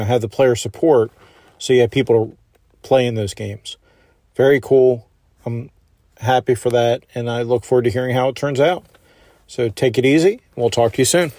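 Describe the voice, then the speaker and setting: A man's voice: mid-range voice, warm tone, no room sound. A man speaking casually as a listener leaving a voice message for a podcast. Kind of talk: casual chat.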